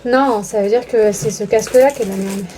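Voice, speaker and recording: A woman's voice: medium pitch, a little fast, noisy background. A woman chatting informally and with slang as she demonstrates motorcycle gear for a vlog. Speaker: motorcycle vlogger testing gear. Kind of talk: casual chat while demonstrating gear.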